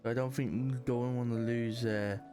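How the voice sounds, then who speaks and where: Mellow dude mumbling slangy commentary while playing a game, bit of music behind. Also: male voice, mid-range voice, steady tone, moderate pace. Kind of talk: casual gameplay commentary.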